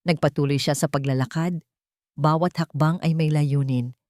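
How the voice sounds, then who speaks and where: A woman reading a dramatic scripted story as narrator, with measured, suspenseful delivery. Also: low voice, steady tone, a little mellow, no room sound, studio quality.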